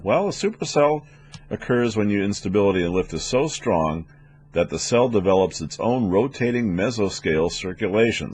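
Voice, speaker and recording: A man reading scripted training narration as an instructor, in a methodical, explanatory manner. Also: low-pitched. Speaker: weather instructor for air traffic controllers.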